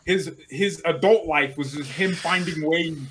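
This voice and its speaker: A young man talks on a video call from a small room at home, his voice bright and forceful. He banters in an animated, teasing way, and a faint hiss of noise sits behind him. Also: fairly high pitch, a little slow. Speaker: guest rival fan. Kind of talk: casual banter.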